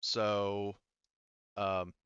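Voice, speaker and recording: A man running an online tabletop roleplaying game as game master, talking haltingly as he thinks aloud through the rules. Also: lowish voice, little pitch movement, fairly bright tone, smooth, no echo, dull audio.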